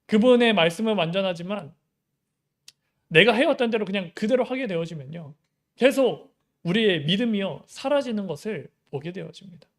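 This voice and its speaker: A man's voice: high-pitched, lively intonation, normal speed. A young adult male pastor giving a Sunday sermon in an easy, conversational way, with many rhetorical questions.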